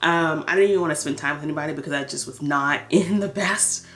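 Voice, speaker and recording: A woman's voice: low-pitched, fast, a bit bright. A thirty-year-old American woman chatting casually and candidly in a personal vlog, telling her story with emphatic, conversational phrasing. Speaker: vlogger. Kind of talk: casual personal catch-up chat.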